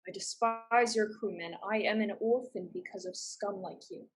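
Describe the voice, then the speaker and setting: A woman's voice: medium pitch, normal speed, breathy edge, close to an everyday voice. A woman playing a fierce, resolute young pirate in a staged play reading, firm and blunt. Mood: stern threat.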